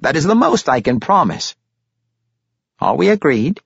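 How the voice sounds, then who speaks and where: A shrewd, amused old patriarch from a fantasy storybook, as a man acts him out, speaking with courtly flourish. The pitch is raised and extremely animated, and the recording is dry and slightly dull. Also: unhurried, vocal fry, husky, no room sound, full character voice. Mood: bargaining. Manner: courtly, theatrical, amused, flowery phrasing. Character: jovial, shrewd, courtly older crime-boss grandfather.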